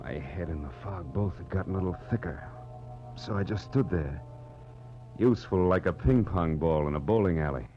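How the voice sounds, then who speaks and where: Very deep hard-boiled radio detective, acted by a man. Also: normal speed, close to an everyday voice. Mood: groggy, wry. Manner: terse, wry, wisecracking. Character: hard-boiled wisecracking male private detective, weary and tough. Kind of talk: 1940s radio acting, noir first-person narration. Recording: muffled old radio recording, music behind.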